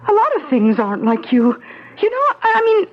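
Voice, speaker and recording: Clingy, slangy mobster's girlfriend, anxious and affectionate. Very high, swooping voice, vintage radio drama. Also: fairly quick. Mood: worried. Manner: slangy, breezy, expressive. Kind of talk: 1970s radio drama acting. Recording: dull 1970s radio broadcast, slight noise.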